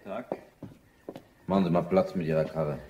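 Actor as a gruff guard in echoey space. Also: male voice, low voice, steady tone, moderate pace, dark tone, dull audio, lightly acted. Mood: gruff, suspicious. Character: gruff, officious male armed security guard. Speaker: actor in a crime audio drama. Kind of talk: German radio play dialogue. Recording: echoey space with faint noise.

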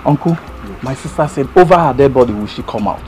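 A young actor with a Nigerian accent playing an excitable nephew arguing with his uncle. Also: mid-range voice, fast talker, soft background music, natural voice. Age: young adult. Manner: excitable, pleading. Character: hotheaded young man, protective brother.

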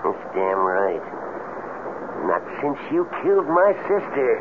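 An actor playing a menacing, mocking small-town bully in a 1970s radio drama. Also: male voice, medium pitch, very animated, slow. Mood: hostile taunting. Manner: mocking, folksy, needling. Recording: tinny, hissy 1970s radio broadcast.

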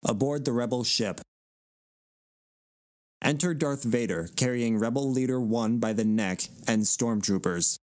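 A man narrates stage directions for an audio play. His medium-pitched voice is recorded dry, with no room around it. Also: a little slow, a little mellow, no echo.

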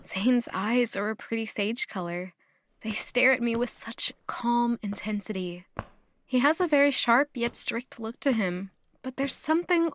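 A woman's voice: mid-range voice, natural voice. An actress playing a lovestruck, self-doubting young woman in an audio drama, speaking hesitantly and musingly. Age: young adult. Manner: hesitant, musing, questioning herself. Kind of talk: audio drama acting, introspective monologue.

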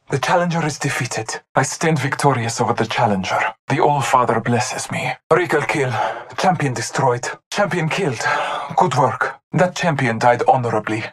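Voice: medium pitch, close to an everyday voice. An actor playing a devout, honor-bound masked hunter warrior in a video game, speaking in formal, solemn callouts.

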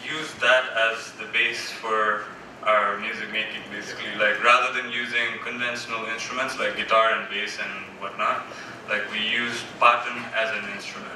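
A man's voice: on the low side, moderate pace. A man on a festival panel, talking casually and joking with the audience, recorded from far off in a large hall. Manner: relaxed, joking, trailing off. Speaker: panelist musician. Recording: echoey hall from far off, noisy.

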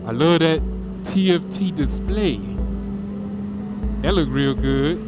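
A man talking casually to viewers while riding a motorcycle on country roads, relaxed and slangy, through a helmet mic. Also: on the high side, slow pace, loud music behind the voice. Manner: laid-back, slangy, addresses viewers directly. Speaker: motovlogger.

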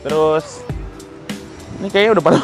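A young man from Indonesia hosting a casual motorbike unboxing vlog, talking in a chatty, excitable way in an outdoor garage yard. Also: a little high, moderate pace, over music. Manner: chatty, excitable, swears and laughs. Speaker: motovlog host.

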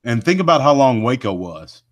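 A man with a deep voice that carries, hosting a live show and rambling folksily with many asides to his listeners. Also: sing-song, normal speed, no echo. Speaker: host of a cryptid research live show. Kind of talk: casual live podcast chat.

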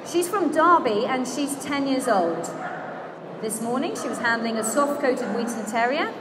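A woman working as ring announcer in a large indoor exhibition hall, calling out names slowly and clearly over a public-address system. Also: high-pitched, very animated. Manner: slow, declamatory announcing of names. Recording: crowd and hall noise.

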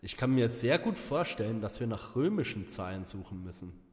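A man plays a sceptical, sharp-witted young detective in a parody audio drama, with a dry manner. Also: fairly low pitch, very animated, fairly quick. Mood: focused. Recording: long reverb, music behind.

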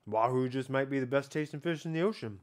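A man's voice: mid-range voice, quick pace. A man in his thirties casually talking through a fish tasting on an outdoor patio at night, rambling and hedging with filler words, recorded on a head-mounted camera. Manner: laid-back, rambling, hedging with "I don't know" and "like". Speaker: fishing vlogger. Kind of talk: casual catch-and-cook vlog.

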